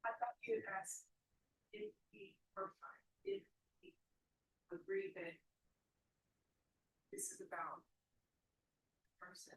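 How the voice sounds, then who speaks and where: A woman prospective juror speaking in a hesitant, halting way. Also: very high-pitched, huge pitch swings, creaky, reverberant, rough recording.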